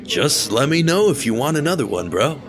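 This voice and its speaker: An actor playing a friendly, talkative young bartender with a laid-back manner, in an audio drama with music underneath. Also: male voice, mid-range voice, sing-song, normal speed, a crowd in the background. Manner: laid-back, talkative, says bro a lot. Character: friendly chatty male bartender who calls everyone bro.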